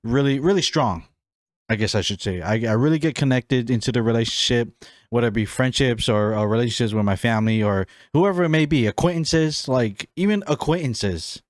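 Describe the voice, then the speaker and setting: A man's voice: medium pitch, fairly quick, no room sound, clean studio sound. A young man from Hawaii hosting his own podcast, chatting casually about himself with a rambling, friendly manner full of fillers and restarts. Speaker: podcast host. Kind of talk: casual solo podcast chat.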